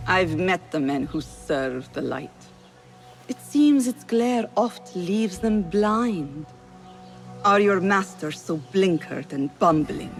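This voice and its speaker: An actress playing a proud, witty, defiant court poet in a video game, with a poetic, theatrical delivery. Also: female voice, lowish voice, lively intonation, slow pace, faint music behind. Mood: playful, teasing. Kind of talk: dramatic video game acting.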